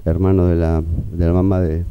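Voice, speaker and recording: A man recollects events conversationally. His voice is quite low, and the recording sounds a little dull. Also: level tone, normal speed, clear, resonant tone. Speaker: illustrator witness.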